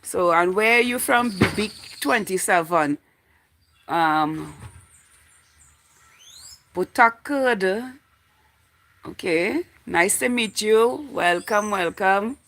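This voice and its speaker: A woman's voice: medium pitch, slow pace, pauses often, fairly bright tone. A woman in her forties with a Trinidadian accent, chatting casually to viewers as a livestream host in a rambling, repetitive way. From Trinidad and Tobago. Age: 40s. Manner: rambling, repeats phrases while thinking aloud. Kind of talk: casual live chat with viewers.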